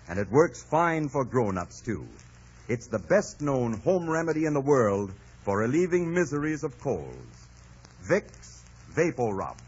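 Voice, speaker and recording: Male 1940s radio announcer, scripted ad style, very animated pitch, dull vintage recording. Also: slightly rough. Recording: muffled old radio broadcast, faint music.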